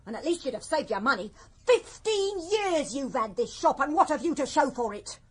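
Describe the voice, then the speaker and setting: An actress playing a nagging, scornful English shopkeeper's wife in a radio drama, carping and reproachful. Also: female voice, sits high, animated, normal speed.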